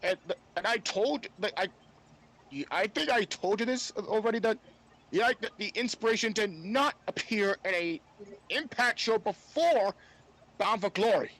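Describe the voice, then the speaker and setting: A man talking casually as a podcast panelist, halting and repeating himself as he goes. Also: sits high, a bit bright, rough recording. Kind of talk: casual sports chat.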